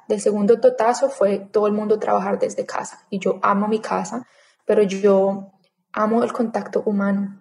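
A woman in her thirties talks through her story in a relaxed interview. Her voice is mellow and warm, at an everyday pitch, and she slips into little quoted asides of what she thought back then. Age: 30s. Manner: chatty, animated retelling with quoted inner thoughts. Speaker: interview guest.

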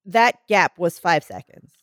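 A woman's voice: lowish voice, lively intonation, a little slow, no echo, studio quality. A woman co-hosting a podcast in casual chat, her voice smoky and scratchy from a sore throat, telling stories with lots of restarts. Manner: chatty, rambling storyteller with many restarts. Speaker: podcast co-host.

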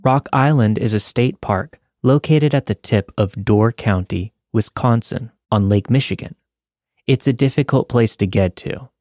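A man's voice: medium pitch, measured pace, darkish tone, no echo. A man hosting a story podcast, reading scripted narration.

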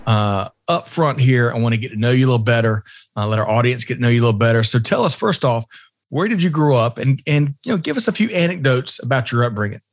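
A man's voice: medium pitch, dry recording. A man hosting a business podcast, upbeat and friendly, asking questions in a casual interview. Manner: upbeat, friendly, uses fillers. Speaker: podcast host.

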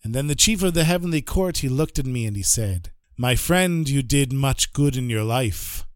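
A man voicing a holy male rabbi speaking slowly and tenderly from the world to come. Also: medium pitch, lively intonation, clean tone, dry recording, natural voice. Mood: solemn. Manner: slow, hushed, tender. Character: dying holy male rabbi, gentle and solemn.

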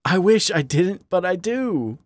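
A man's voice: high voice, animated, unhurried, dry recording, pitched above the performer's own voice. A man voicing a wounded, self-mocking male raccoon who speaks with brittle wryness. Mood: aching. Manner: wry, brittle, raised voice.

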